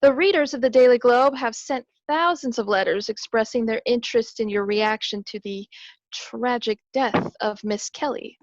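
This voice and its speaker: An actress playing an inquisitive, poised sleuth's companion in an old-time radio drama. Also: female voice, a little high, moderate pace, dry recording, lightly acted. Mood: inquisitive, earnest. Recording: dull lo-fi stream audio.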